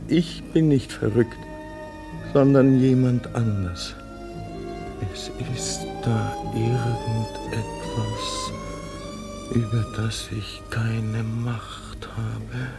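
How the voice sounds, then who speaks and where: A man's voice: mid-range voice, close to an everyday voice. An actor in his thirties playing a frightened man who pleads urgently to be heard, with music behind. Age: 30s. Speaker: radio play lead.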